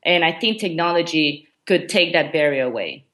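A woman's voice: low voice, lively intonation, moderate pace, fairly bright tone. A woman from Ecuador whose first language is Spanish, answering interview questions in English with frequent ums and restarts. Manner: hesitant, many ums and restarts. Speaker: guest, education coordinator.

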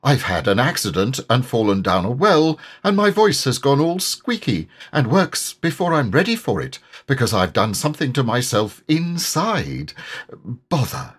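A man voicing a humble, slow-witted, amiable toy bear in a children's storybook, speaking in a hesitant, earnest way. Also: mid-range voice, big pitch swings, slightly rough, voice pushed up. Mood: bothered, muddled. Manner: hesitant, ponderous, simple and earnest.

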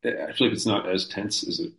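Low-voiced young man in a roomy room. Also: a little fast, creaky, a bit bright. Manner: hesitant, thinking aloud with restarts. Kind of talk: casual podcast conversation.

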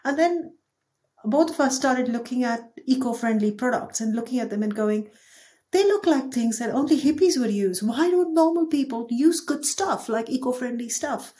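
A woman's voice: on the high side, moderate pace. A middle-aged woman from India, a company founder, talking reflectively and with animation as a guest in an interview. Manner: reflective, animated, laughs easily. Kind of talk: podcast interview conversation.

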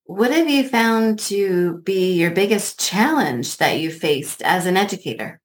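Polite woman interviewer, medium pitch. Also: animated, unhurried. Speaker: podcast interviewer. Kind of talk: prepared interview questions.

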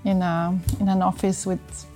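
A middle-aged woman with an Italian accent answers interview questions in a soft-edged, mellow voice. She explains things conversationally, pausing to find words, with music playing behind her. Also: on the low side, flat delivery. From northern Italy. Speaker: interview guest, sports medicine doctor.

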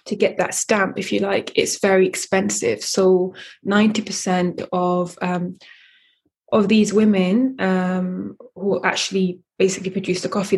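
A woman from Eritrea, a coffee company founder answering interview questions in a measured way, with many fillers and restarts. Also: medium pitch, a little mellow, slightly rough.

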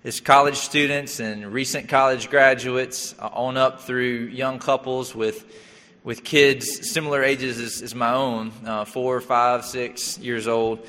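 Young pastor, man in his thirties, halting sermon delivery, bright tone, long hall reverb. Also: medium pitch, level tone. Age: 30s. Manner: halting, with many fillers and restarts.

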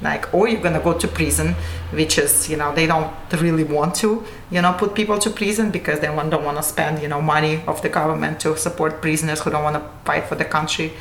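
A woman who grew up in Russia, talking earnestly as an interview guest, often halting and restarting. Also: sits low, fairly even intonation. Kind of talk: casual conversation. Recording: echoey room with faint noise.

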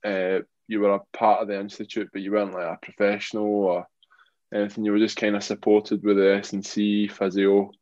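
Low flat-voiced Glaswegian man. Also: a little fast, no room sound, highs cut off. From Scotland. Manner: rambling, repeats phrases, many fillers. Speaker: rugby player turned boxer, guest. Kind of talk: casual interview answers.

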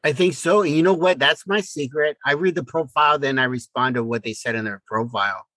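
A man's voice: mid-range voice, a little fast, pushed and bright, no echo. A middle-aged man co-hosting a podcast in a casual chat, inquisitively prompting with questions. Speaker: podcast co-host.

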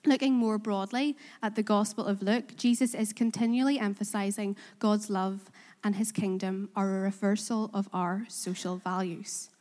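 A woman's voice: mid-range voice, fairly even intonation, normal speed, darkish tone. A woman with a Korean accent preaching a sermon in church, earnest and self-deprecating, asking the congregation questions. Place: church in Belfast. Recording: reverberant hall.

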